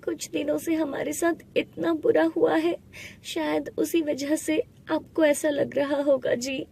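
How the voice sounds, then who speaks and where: Woman playing a high-voiced plaintive poor wife. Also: little pitch movement, a little slow, creaky, mellow. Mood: puzzled, meek. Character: grieving poor young mother, devoted timid wife. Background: background music.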